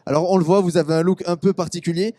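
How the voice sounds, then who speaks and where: A man hosting an interview at a busy fair, brisk and upbeat, leading the guest with questions. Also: high-pitched, fairly even intonation, warm tone, no room sound, studio quality. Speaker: interviewer, show host. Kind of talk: casual interview. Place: busy agricultural fair stand.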